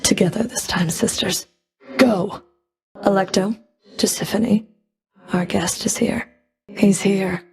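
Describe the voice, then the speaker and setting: A woman's voice: mid-range voice, steady tone, slow, creaky, pauses often, faint music behind. A woman playing a stern, scornful Fury of the underworld in a video game, speaking in a cool, clipped, disdainful manner. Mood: commanding. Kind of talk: video game combat and dialogue voice lines.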